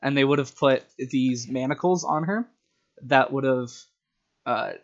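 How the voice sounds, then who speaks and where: Male storyteller, average pitch, casual tabletop gamer retelling plot ideas with acted asides. Muffled, lo-fi audio. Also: measured pace. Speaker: storyteller and tabletop game player. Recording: dull, lo-fi sound.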